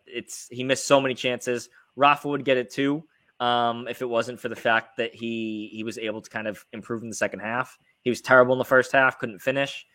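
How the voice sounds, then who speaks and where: A man's voice: medium pitch, sharp tone. A man hosting a casual football fan podcast, talking through the game in an informal, conversational way. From United States. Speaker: sports podcast host.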